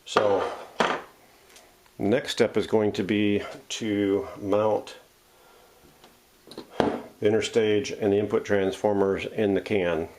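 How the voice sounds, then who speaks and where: A man's voice: lowish voice, little pitch movement, takes it slowly, long pauses, noisy background. A man hosting a casual, unscripted workshop demonstration, talking through each step as he handles the parts. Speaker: host of a vintage radio restoration channel. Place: home workshop.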